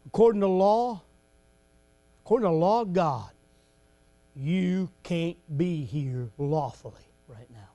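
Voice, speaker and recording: A man preaching a folksy sermon to a congregation, joking and laughing between lines and breaking into shouts at his high points. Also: lively intonation, takes it slowly, long pauses.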